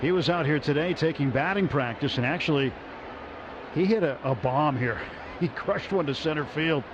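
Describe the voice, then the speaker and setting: Male play-by-play caller, fairly high voice, muffled radio sound with ballpark noise behind. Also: normal speed. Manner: steady, rises sharply on big plays. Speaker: play-by-play announcer. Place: ballpark broadcast booth.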